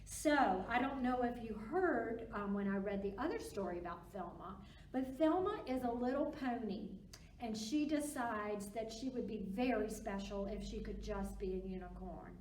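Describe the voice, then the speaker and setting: Woman, higher-pitched voice, children's read-aloud, encouraging, expressive, echoey room, faint background noise. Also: normal speed. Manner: simple, encouraging address to young children. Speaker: librarian storytime reader. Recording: roomy, distant mic with background noise.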